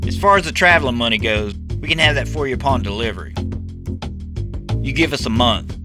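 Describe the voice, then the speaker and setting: Guy doing a cocky conman preacher for an audiobook, pushy and slangy, pitched up, music behind. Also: lively intonation, brisk, close to an everyday voice. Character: Seth, brash pushy conman posing as preacher.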